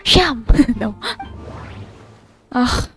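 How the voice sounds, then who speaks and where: A young woman reacting casually to a game, rambling and expressive in a bewildered way. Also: fairly high pitch, drawn-out words, faint music behind. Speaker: gaming streamer. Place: game commentary with game music behind.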